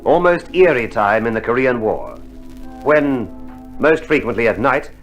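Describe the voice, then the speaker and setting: A man narrates a scripted documentary drama in a 1960s style. His delivery is formal and measured, with a medium pitch. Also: very animated, faint music behind, low-quality recording.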